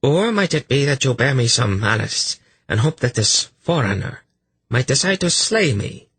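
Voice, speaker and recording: Man voicing a cruel, husky, imperious priestess. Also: mid-range voice, very animated, moderate pace, a bit bright, dry recording, voice pushed up. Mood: cold, sarcastic. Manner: cold, menacing, sarcastic questioning. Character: cruel, imperious, suspicious scarred high priestess. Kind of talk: fantasy audiobook character acting.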